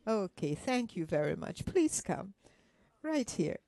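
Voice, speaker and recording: Female workshop speaker, unhurried and soft-toned, gently coaxing an audience. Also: medium pitch, very animated, gravelly, no echo. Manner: gentle coaxing, with rhetorical questions and endearments. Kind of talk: interactive motivational talk to an audience.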